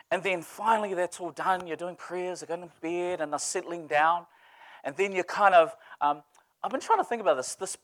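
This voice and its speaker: A man from Samoa, preaching in a relaxed storytelling way, joking and laughing with his congregation. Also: high-pitched. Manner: joking, animated, laughs with the room. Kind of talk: casual storytelling sermon.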